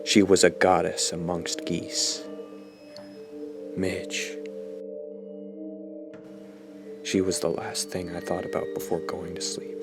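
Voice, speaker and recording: A man's voice: deep voice, completely flat delivery, a little slow, music in the background. An actor playing a smug, self-absorbed teenage boy in an audio drama, narrating his confession in a pompous, dramatic way. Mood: lovesick, grandiose. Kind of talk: audio drama acting, first-person narration.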